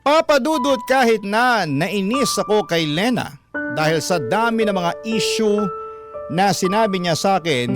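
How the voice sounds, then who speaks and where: A man giving a dramatic scripted first-person narration for a radio drama, earnest and confessional. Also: on the high side. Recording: music bed under the voice. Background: instrumental music.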